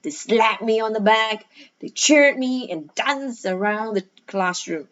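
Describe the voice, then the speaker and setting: Female teacher for guided reading lesson: very slow pace, instructive questioning, emphatic key words, slightly muffled lo-fi recording. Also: fairly high pitch, a bit bright. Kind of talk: guided read-aloud lesson with questions and explanations. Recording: dull, lo-fi sound.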